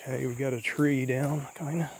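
Guy rambling to his camera on a long walk down a back road, kinda flat monotone, bit tired, faint bugs in the background. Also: mid-range voice, moderate pace, slight background noise. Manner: rambling, thinking aloud while walking. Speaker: vlogger exploring an abandoned road. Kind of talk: casual walking vlog. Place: outdoors on an overgrown country road. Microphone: handheld or body camera while walking. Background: faint insects and rural ambience.

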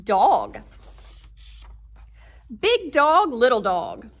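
Animated high-pitched woman reading to children. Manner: animated, deliberate, emphatic phrasing. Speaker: guest children's book reader. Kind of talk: expressive picture-book read-aloud for young children.

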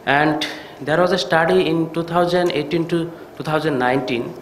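A middle-aged man from Bangladesh, an eye surgeon giving a conference talk, speaking in an earnest, measured way at a podium microphone in a large hall. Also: a little high, a little hiss or hum. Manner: earnest, measured, slightly halting. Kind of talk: conference lecture. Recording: echoey hall with faint ambience.

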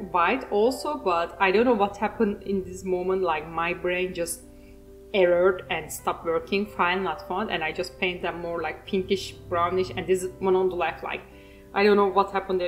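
A woman's voice: lowish voice, rough recording. A woman with a Turkish accent, a native Turkish speaker, giving a rambling, self-mocking voice-over while she paints, laughing often. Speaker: art channel host.

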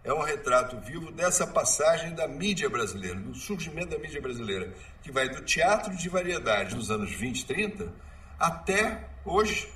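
A Brazilian man gives lively interview commentary in a bright, slightly high voice. He sounds distant from the mic. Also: moderate pace. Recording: distant mic, faint music.

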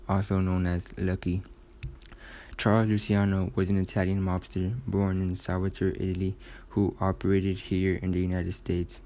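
Very monotone low male news reader. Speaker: news reporter. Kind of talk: scripted news report read aloud.

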